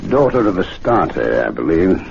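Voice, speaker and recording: An actor playing a dry, unflappable gentlemen's club member in a 1970s radio drama, measured and drily ironic. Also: male voice, low voice, sing-song, creaky, full character voice. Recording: muffled, noisy old radio broadcast.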